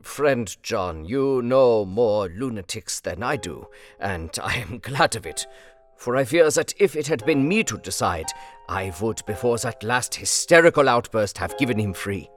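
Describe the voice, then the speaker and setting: A man voicing a wise elderly foreign male professor who speaks slowly, formally and earnestly. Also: medium pitch, animated, faint music behind, lightly acted.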